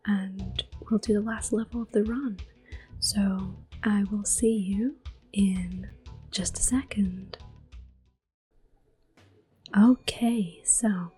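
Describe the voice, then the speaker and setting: A woman giving soft-spoken, often whispered ASMR commentary on a video game, explaining gently in a breathy voice. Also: mid-range voice. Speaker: ASMR gaming video host. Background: video game music.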